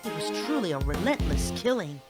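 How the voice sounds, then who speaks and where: A man voicing an excitable, friendly cheetah police officer testifying in a fan audio drama, chatty and earnest. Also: a little high, a little fast. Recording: loud music under the voice. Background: loud music.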